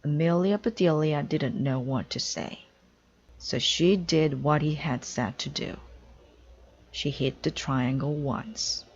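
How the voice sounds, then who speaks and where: Slow-paced woman reading a children's storybook. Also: low voice, husky, lo-fi sound. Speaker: storybook reader. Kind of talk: children's read-aloud narration.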